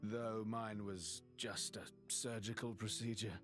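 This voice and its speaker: A man's voice: lowish voice, fairly even intonation, unhurried, over music, close to an everyday voice. A man playing an affable, easygoing adventurer in a video game cutscene. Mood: explaining.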